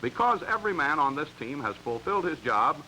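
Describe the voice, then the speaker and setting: A man's voice: fairly high pitch, very animated, a little fast, sharp tone, a little hiss or hum, low-quality recording. An American man reading scripted narration for a 1940s sports training film in an emphatic, instructional way, on a vintage soundtrack. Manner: crisp, emphatic, instructional delivery.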